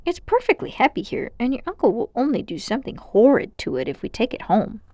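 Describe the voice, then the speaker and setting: Storybook heroine, played by a woman: young girl, dreamy, sensible, high and animated. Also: fairly quick, no echo, studio quality. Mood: cautious, insistent.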